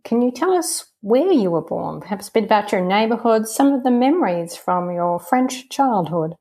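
Woman podcast host, mid-range voice, careful scripted delivery and relaxed questions, phone recording. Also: medium pitch. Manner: measured and formal when reading, chattier when asking questions. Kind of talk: scripted introduction then interview questions. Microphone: phone over a messaging-app call.

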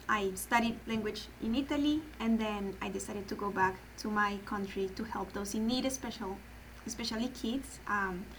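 A woman's voice: medium pitch, fairly bright tone, slight background noise, low-quality recording. A woman with a Colombian accent, Spanish her first language, answering interview questions in a careful, earnest way with small hesitations. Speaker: adoption program guest. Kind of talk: podcast interview.

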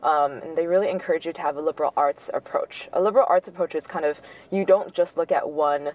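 A woman's voice: sits low, fast talker, darkish tone, far from the mic. A young woman from Shanghai with an American accent, answering conversationally as an interview guest. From grew up in Shanghai, China. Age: young adult. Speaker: interview guest, corporate innovation manager.

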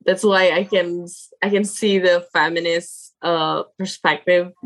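A young woman guest in a casual interview, speaking English as a second language with Indonesian as her first. Her voice is fairly low, and she talks slowly. She stops often to hunt for words and fills the gaps with fillers. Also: steady tone. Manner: halting, searching for words with many fillers.

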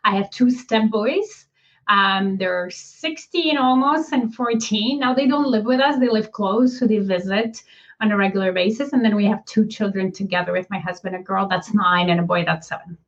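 Polish-accented woman coach, lo-fi call. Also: medium pitch. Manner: warm, laughs easily, explains with pauses. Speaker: guest, business coach. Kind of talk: casual interview talk.

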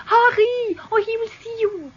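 French coquette, high voice, anxious, French accent, radio drama, muffled recording. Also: female voice, moderate pace. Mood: anxious pleading. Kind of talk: BBC radio drama acting. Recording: dull archive radio recording.

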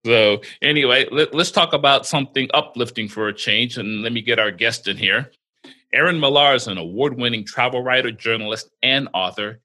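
A man's voice: medium pitch, brisk, pushed and bright. A man hosting a casual travel podcast, joking with his co-host and stumbling through restarts and fillers.

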